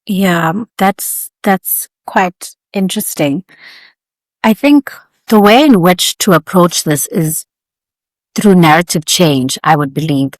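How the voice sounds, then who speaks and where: A woman lawyer answering interview questions in a measured, articulate, formal way. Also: lowish voice, dry recording, poor audio. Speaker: guest lawyer.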